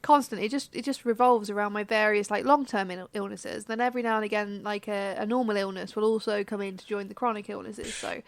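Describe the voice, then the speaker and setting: A woman's voice: medium pitch, steady tone, fairly quick, creaky, few pauses, no room sound. A young woman co-hosting a podcast, chatty and laughing easily, her voice a little congested from a cold. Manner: chatty, laughs often, playful boasting. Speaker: podcast co-host. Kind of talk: casual chat.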